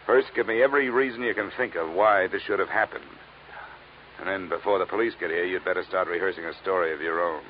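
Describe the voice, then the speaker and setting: An actor playing a tough, skeptical insurance investigator in an old radio detective drama, with dry, clipped, world-weary delivery. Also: male voice, sits low, quick pace, natural voice. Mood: brisk, businesslike. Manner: hard-boiled, dry, clipped. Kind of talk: 1940s-50s radio detective drama acting. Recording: dull, noisy old radio recording.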